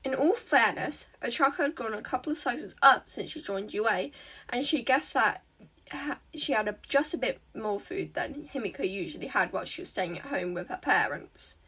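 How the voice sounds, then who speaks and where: A woman reading fan fiction aloud as an expressive narrator. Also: a little high, normal speed, slightly rough, distant mic.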